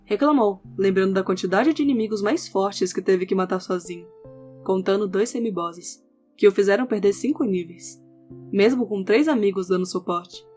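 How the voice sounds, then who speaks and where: Female narrator, scripted audio novel, mid-range pitch, guitar music bed behind. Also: moderate pace, darkish tone. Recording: background music under the voice. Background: instrumental music with guitar.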